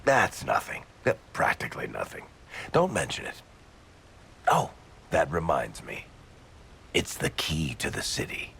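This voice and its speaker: A wisecracking dwarf from a fantasy game. The voice is very low and gravelly, swinging freely in pitch as the breezy irreverence and soft heart show through. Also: male voice, wildly swooping pitch, a little slow, pauses often, a little hiss or hum, full character voice. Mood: casual, fond. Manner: wry, casual, breezily irreverent. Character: gruff, wisecracking, big-hearted dwarf rogue turned city ruler. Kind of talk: video game voice acting.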